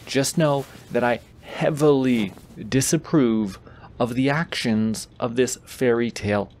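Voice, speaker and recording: Slow-paced playful man reading a bedtime story. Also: medium pitch, darkish tone, a little hiss or hum. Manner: goofy, playful, teasing. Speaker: boyfriend character reading aloud. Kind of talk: bedtime story reading with casual asides, audio roleplay.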